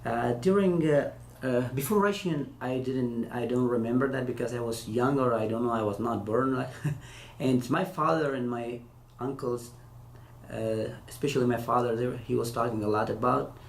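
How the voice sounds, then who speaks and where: Halting man in echoey room. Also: mid-range voice. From Afghanistan. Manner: hesitant, halting non-native English with many restarts. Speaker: interview guest, former interpreter. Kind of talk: casual interview answers. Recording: echoey room with faint hiss.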